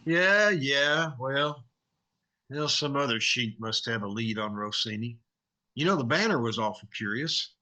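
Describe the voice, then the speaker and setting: An actor playing a wry, scrappy, wisecracking newspaper reporter in a 1950s-style radio drama. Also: male voice, medium pitch, lively intonation, unhurried, pushed and bright. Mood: wry, speculating. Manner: wisecracking, colloquial.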